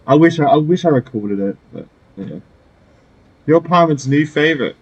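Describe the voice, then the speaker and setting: A man giving casual, halting gameplay commentary in short, offhand reactions. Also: on the high side, animated, breathy edge, rough recording. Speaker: gameplay streamer. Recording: faint game music behind.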